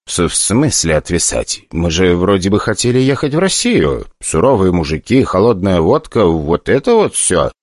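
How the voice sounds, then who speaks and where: A man voicing a hesitant, unsure young man who talks slowly. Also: sits low, sing-song, no room sound, clean studio sound. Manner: slow, hesitant.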